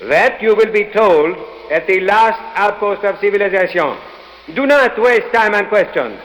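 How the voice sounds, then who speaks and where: An actor playing a stern, secretive spymaster who gives orders formally and deliberately in an old radio adventure. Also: male voice, sits high, expressive, a bit bright. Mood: commanding. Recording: reverberant old radio drama recording.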